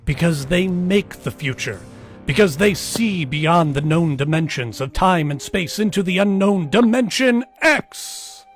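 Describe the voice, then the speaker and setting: A man's voice: fairly high pitch, lively intonation, a little slow, soft background music. A man playing a portentous announcer in a theatrical, old-time science fiction radio drama style. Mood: portentous, grand. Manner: theatrical, declamatory. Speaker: announcer and bit player. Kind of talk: dramatic 1950s radio narration, live re-performance.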